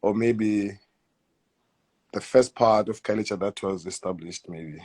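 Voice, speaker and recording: Soft-spoken South African man, hesitant. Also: lowish voice, measured pace, breathy edge, poor audio. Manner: hesitant, repeats words, soft trailing endings. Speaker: guest footballer. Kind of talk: casual interview.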